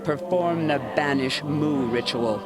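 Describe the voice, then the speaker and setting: Casting: male actor as ancient cosmic horror villain for a game, stern and condescending, formal theatrical delivery, unhurried pace, music underneath. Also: on the high side, lightly acted. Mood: coolly persuasive. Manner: menacing, formal, deliberate, condescending. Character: ominous eldritch god, stern condescending elder uncle. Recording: music behind the voice.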